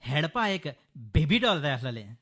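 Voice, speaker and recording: A man's voice: fairly high pitch, big pitch swings, normal speed, a bit bright, exaggerated voice. A man playing a flustered, stammering male servant with a Goan accent in a comic radio play. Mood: panicky protest. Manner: stammering, repeats words, pleading. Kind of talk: Konkani musical radio comedy play.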